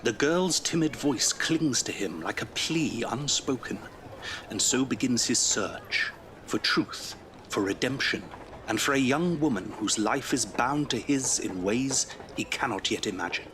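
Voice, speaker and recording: A man narrating an audio drama in a solemn, measured storytelling style. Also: medium pitch, animated, background noise.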